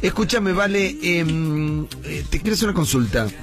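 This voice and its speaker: A man's voice: on the high side, animated, normal speed. Argentine male radio host, music behind. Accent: Argentine Spanish. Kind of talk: casual studio banter.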